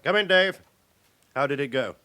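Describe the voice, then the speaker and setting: An actor playing a suspicious, methodical police chief inspector in a radio drama, reasoning deliberately through leading questions. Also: male voice, fairly high pitch, animated, pushed and bright. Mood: pensive, pointed. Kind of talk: naturalistic radio drama acting.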